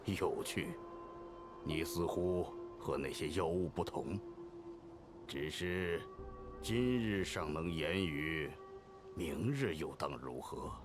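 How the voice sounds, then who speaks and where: Very deep, slow-speaking cryptic old man. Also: full character voice. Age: older. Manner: measured, archaic, portentous. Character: elderly solitary man, cryptic, amused, gravely formal. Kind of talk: video game drama acting in archaic formal speech. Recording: game music behind the voice.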